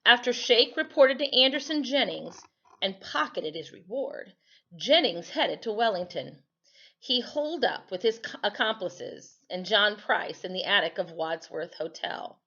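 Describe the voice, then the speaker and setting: A woman reads a history story aloud like a teacher at storytime. Her pitch moves around a lot, giving the telling an animated, expressive feel. The recording is slightly muffled and lo-fi. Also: unhurried. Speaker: storyteller reading aloud to children.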